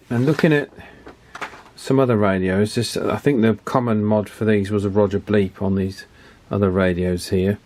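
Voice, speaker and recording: A man's voice: on the low side, darkish tone. A man giving casual hands-on repair commentary, thinking aloud in a hesitant, methodical way and repeating himself as he works. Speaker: radio repair hobbyist presenting a video.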